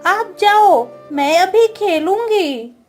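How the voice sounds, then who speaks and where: A woman puts on a little girl of seven for a cartoon story. The voice is extremely high and unhurried, whiny and playfully stubborn. Light music sits underneath. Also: lively intonation, a little mellow, exaggerated voice. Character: playful, stubborn seven-year-old village princess girl. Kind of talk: Hindi cartoon story acting.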